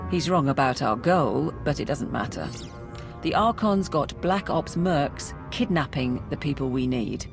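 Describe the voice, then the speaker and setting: Low voiced army officer lady giving a briefing, clipped n serious, game cutscene w some music. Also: lively intonation, unhurried. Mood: brisk, serious. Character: brisk, serious female military officer briefing a squad. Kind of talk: video game cutscene acting.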